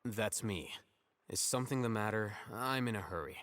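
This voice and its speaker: Male actor as aloof intellectual scholar, measured, deadpan, long reverb, faint noise. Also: on the low side, close to an everyday voice. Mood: cool, dismissive. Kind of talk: dramatic game character acting.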